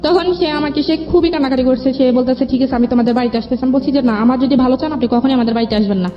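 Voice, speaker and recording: A woman's voice: high-pitched, racing. A woman with a Bangladeshi accent telling her own life story as an interview guest. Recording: dull lo-fi audio, music underneath. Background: background music.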